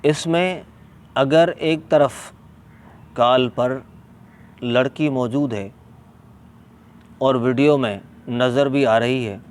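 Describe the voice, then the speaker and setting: A man with a Pakistani accent explaining a religious ruling as an Islamic scholar, deliberate and emphatic, repeating key points. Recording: clear background noise, ambient vehicle rumble.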